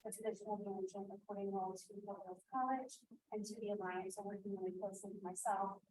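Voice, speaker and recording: A soft-spoken woman, a college director, making a job announcement at a member meeting, with frequent fillers and apologies. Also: mid-range voice, steady tone, quick pace, low-quality recording. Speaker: college director of student initiatives. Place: meeting room.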